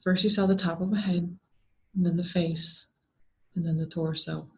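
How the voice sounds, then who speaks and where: A woman reading a scripted horror story aloud in a deliberate, dramatic way with drawn-out words. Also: fairly low pitch, steady tone, darkish tone. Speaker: story reader. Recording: dull, lo-fi sound.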